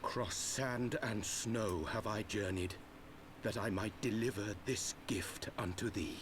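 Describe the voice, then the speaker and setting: An actor voicing a solemn, grave warrior who speaks formal archaic English in a dramatic game scene. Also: male voice, lowish voice, slow pace. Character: solemn archaic warrior grieving a fallen comrade. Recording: noisy game stream capture.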